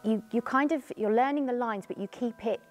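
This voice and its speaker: A woman's voice: on the high side, brisk. A woman in her thirties talking casually in an interview as a stage actress. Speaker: pantomime actress. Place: indoor theatre bar room. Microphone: lapel mic. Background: faint music.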